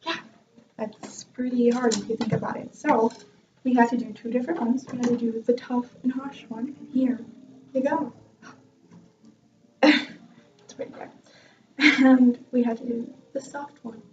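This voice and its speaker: A woman's voice: on the high side, fairly even intonation, a little slow, pauses often, far from the mic. A young woman telling a self-mocking story from her music class in a chatty vlog, laughing often. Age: young adult. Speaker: vlogger and music student. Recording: dull lo-fi sound from off-mic.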